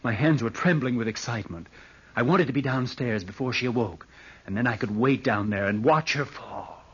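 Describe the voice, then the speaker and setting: An actor playing a murderous, imperious young heir in a theatrical 1940s radio drama style, brooding and curt. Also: male voice, medium pitch, normal speed, a bit bright, breathy edge. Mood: feverish anticipation. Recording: muffled old radio drama recording.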